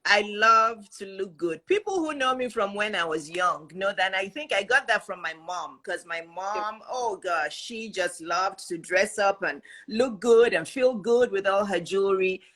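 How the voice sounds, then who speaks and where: A woman in her fifties hosts the conversation as a life coach. She asks her questions with emphatic, encouraging energy. Her voice sits at an ordinary middle pitch. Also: measured pace, hardly any pauses, pushed and bright. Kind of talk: conversational interview.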